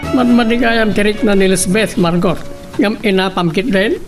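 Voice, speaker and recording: A man's voice: high-pitched, moderate pace, natural voice. A man playing a stern, protective father in an Ilocano radio drama. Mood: stern, reproachful. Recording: distant mic over music bed.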